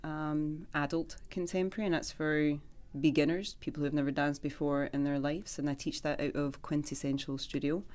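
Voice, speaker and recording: A Scottish woman in her thirties talks as a radio guest. Her voice sits low and she chats freely, agreeing often and laughing easily. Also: level tone, a little fast, darkish tone. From Scotland. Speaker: guest, personal trainer and dance teacher. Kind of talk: casual radio interview.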